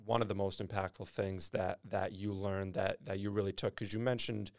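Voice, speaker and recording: A man's voice: sits low, flat delivery, normal speed, gravelly, no room sound, clean studio sound. A man hosting a podcast interview, friendly and rambling, repeating words while he thinks. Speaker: podcast host. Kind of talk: casual interview conversation.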